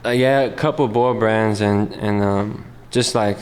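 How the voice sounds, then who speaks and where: A man's voice: lowish voice, steady tone, unhurried. A young man from California whose first language is Spanish, talking casually in a laid-back, slangy way. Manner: laid-back slangy talk, lots of fillers. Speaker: guest skater. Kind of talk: casual interview chat. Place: live event hall. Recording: reverberant hall.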